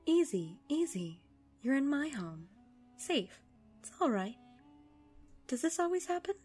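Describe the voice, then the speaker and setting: An actress playing a gentle mother tending the wounded in an audio drama, soothing in manner. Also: high voice, sing-song, a little slow, soft background music.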